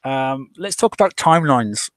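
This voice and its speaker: A man's voice: medium pitch, lively intonation, moderate pace, no echo. Rambling man, dry close mic. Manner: rambling, many fillers and restarts. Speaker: podcast host. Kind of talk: casual group discussion.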